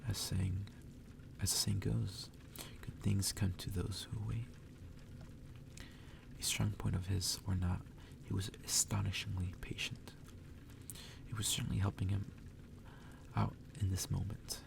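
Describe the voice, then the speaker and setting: Whispering man with heavy, gravelly fry. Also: low voice, little pitch movement, a little slow, pauses often. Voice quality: breathy, whispery. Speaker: reader. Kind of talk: ASMR whispered reading of a story. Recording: distant mic with clear background noise.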